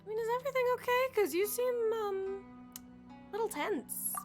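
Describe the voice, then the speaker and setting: A woman's voice: very high-pitched, lively intonation, normal speed. A woman streaming a game with casual live commentary, sarcastically answering the characters back. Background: game music.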